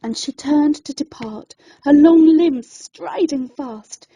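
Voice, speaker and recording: High-voiced woman telling a bedtime story. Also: mellow, poor audio. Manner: theatrical, deliberate. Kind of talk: expressive rhyming children's bedtime story narration.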